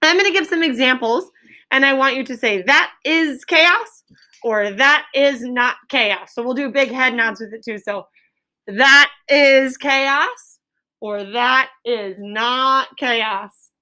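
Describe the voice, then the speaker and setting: An American woman teaching an elementary class, giving clear instructions and spelling things out for the children. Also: high voice, sharp tone. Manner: clear instructing, exaggerated drawn-out modeling. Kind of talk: interactive classroom lesson. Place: school classroom with pupils.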